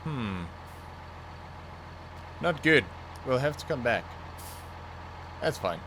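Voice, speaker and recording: Young man, non-native English speaker, casual gameplay commentary, fillers and restarts, mid pitch, faint background hum. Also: takes it slowly. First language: not English. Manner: relaxed, thinking aloud, frequent fillers and restarts. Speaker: gaming channel host.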